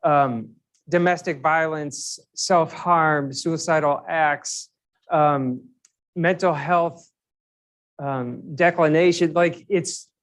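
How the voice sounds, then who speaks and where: A man's voice: a little high, steady tone, takes it slowly, fairly bright tone. A male physician speaking informally to a public commission, conversational with frequent fillers and repeated words. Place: meeting room.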